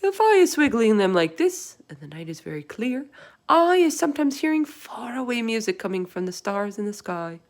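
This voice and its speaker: A woman's voice: a little high, sing-song, moderate pace, voice pushed up. A woman voicing a big, friendly, earnest male giant in a storybook, speaking emphatically in quaint, muddled grammar. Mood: earnest, emphatic. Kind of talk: storybook read-aloud character voice.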